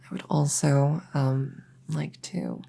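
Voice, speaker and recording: A woman playing a gentle, reassuring witch veterinarian in a soft-spoken ASMR roleplay, soothing and a little hesitant as she chats to her patient. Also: low voice, flat delivery, slow, vocal fry, slightly rough, exaggerated voice. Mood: gentle, explaining. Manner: soothing, hesitant, chatty bedside manner.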